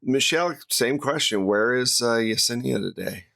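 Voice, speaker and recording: An American man hosts a podcast in a relaxed, conversational style. His voice sits at a middle pitch, and the recording is close and dry. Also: moderate pace, gravelly, no echo. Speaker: host and game master of an actual-play podcast. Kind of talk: casual podcast hosting.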